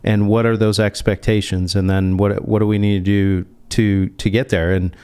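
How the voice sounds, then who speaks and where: Guy financial advisor being interviewed, lowish clear voice, explains stuff and asks his own questions. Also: level tone, normal speed, dark tone. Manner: explanatory, poses rhetorical questions. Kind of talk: conversational interview answers.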